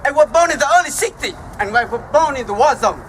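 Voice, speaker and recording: A man in his fifties from Vietnam with a Vietnamese accent, giving a formal speech as guest speaker at an outdoor ceremony, slow and halting with emphatic pauses. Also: extremely high pitch, animated, fairly bright tone, a little hiss or hum. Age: 50s.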